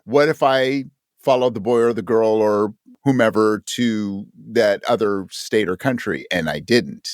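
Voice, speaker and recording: A man's voice: lowish voice, measured pace, no room sound, clean studio sound. A man talking as an interview guest in a casual, reflective way, thoughtfully rephrasing as he goes. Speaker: interview guest, audio drama creator.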